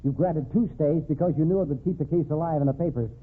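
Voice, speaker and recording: Combative, raspy radio-drama lawyer. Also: male voice, on the high side, fast talker. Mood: angry, scornful. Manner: blunt, pressing, impassioned. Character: dynamic, combative criminal defense lawyer, blunt crusader. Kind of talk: old-time radio drama acting. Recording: narrow, tinny vintage radio recording.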